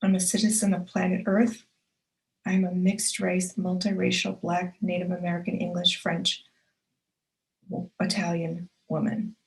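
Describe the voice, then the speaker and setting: An earnest woman delivers a motivational talk on her own show, with a slightly low voice. She stacks short, punchy affirmations and repeats key phrases for emphasis, speaking straight to the listener to encourage them. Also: completely flat delivery, measured pace, gravelly, low-quality recording. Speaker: author and publisher hosting her own show.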